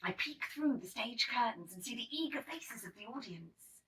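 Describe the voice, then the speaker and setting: A woman reading a children's picture book aloud in an expressive, dramatic storytelling manner. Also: fairly high pitch, moderate pace. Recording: slightly distant microphone.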